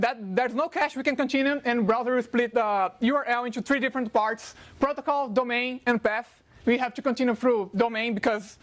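Man, Brazilian Portuguese accent, native Portuguese speaker, fast conference talk, frequent fillers, lecture hall. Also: high voice, fairly bright tone.

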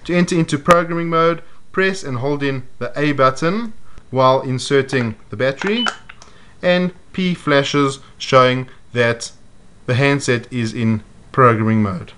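A man giving a measured, step-by-step walkthrough of programming a device, voiced over close-up footage. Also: medium pitch, background noise. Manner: measured, methodical explaining. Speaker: product demonstrator. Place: indoors at a table.

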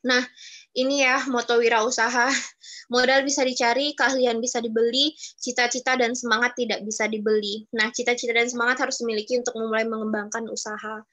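A woman's voice: a little high, level tone, a little fast, a bit bright, lo-fi sound. A woman in her twenties teaching students in a casual, chatty way over a video call. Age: 20s. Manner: chatty, addresses students as younger siblings. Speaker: webinar speaker.

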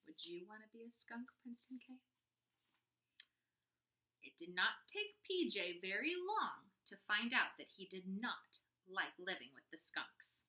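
A young woman reads a picture book aloud as a teacher would. Her voice is bright and forceful, with big pitch swings. She takes her time and leans on words for dramatic effect. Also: sing-song. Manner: deliberate, dramatic emphasis. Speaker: kindergarten teacher. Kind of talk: expressive children's storybook read-aloud.

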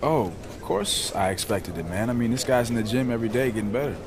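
An actor playing a confident, easygoing young American basketball rookie in a video game, talking in a laid-back, colloquial way. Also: male voice, medium pitch, quick pace, a crowd in the background, lightly acted. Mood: easygoing, amused. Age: young adult. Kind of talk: naturalistic video game cutscene acting.

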